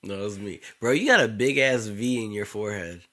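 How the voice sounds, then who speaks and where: A man's voice: fairly low pitch, brisk, sharp tone. A man chatting casually on a podcast as a co-host, blunt and profane in his slang.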